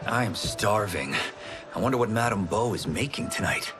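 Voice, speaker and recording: An actor playing a sensible, contented young farmer in a video game, earnest and mild with a friendly teasing side. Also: male voice, lowish voice, lively intonation, moderate pace, over music, natural voice. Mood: light, teasing. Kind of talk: video game cutscene acting. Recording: clearly noisy background.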